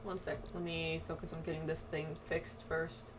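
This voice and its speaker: A woman's voice: low voice, little pitch movement, moderate pace, noisy background. A woman chatting casually through a game playthrough, reading quest text aloud and muttering asides to herself. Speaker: game streamer playing with friends. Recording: game music behind the voice. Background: game music.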